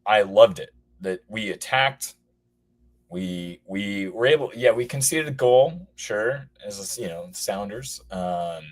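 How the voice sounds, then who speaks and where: A hoarse man with a somewhat low voice calls in and talks in a loose, rambling way. He restarts his sentences often and fills the gaps with filler words, like an excited fan chatting. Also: slow. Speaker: caller. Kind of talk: casual call-in chat.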